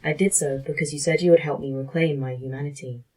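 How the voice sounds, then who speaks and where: A woman playing a disembodied, once-human A.I. in an audio drama, measured, deadpan and resigned. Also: low-pitched, husky, rough recording. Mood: weary, pleading. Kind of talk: audio fiction drama acting.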